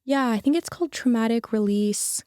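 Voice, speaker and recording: A woman's voice: a little high, moderate pace, warm tone, clean tone, dry recording, clean studio sound. A young adult woman, a podcast guest, telling a personal story in an earnest, casual way with many fillers and restarts.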